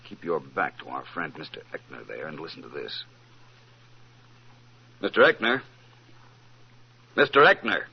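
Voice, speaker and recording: A man's voice: rumbling low, wildly swooping pitch, unhurried, long pauses, exaggerated voice. An actor playing a suave, assured male detective, courteous but probing, in 1940s radio drama style. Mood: confident. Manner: polished, courteous, incisive. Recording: muffled, noisy old radio recording.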